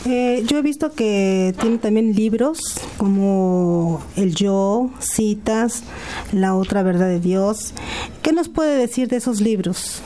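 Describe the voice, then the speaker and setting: A woman's voice: medium pitch, takes it slowly, mellow, a little hiss or hum. A woman co-hosting a radio interview, putting questions to a guest. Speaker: radio co-host.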